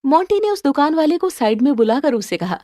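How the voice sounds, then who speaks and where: A woman's voice: sits high, sing-song, fairly quick, dark tone, no echo, studio quality. A woman reading a scripted moral story as its narrator, with music under her voice.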